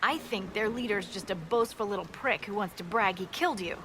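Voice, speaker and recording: A woman's voice: mid-range voice, lightly acted. An actress voicing a blunt, practical woman scout in a video game. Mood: briefing. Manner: blunt, brisk. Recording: game audio with ambient noise.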